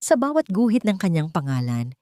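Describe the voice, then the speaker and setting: Low-pitched woman narrating a solemn drama. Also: big pitch swings, fairly quick, dark tone, dry recording, studio quality. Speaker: story narrator.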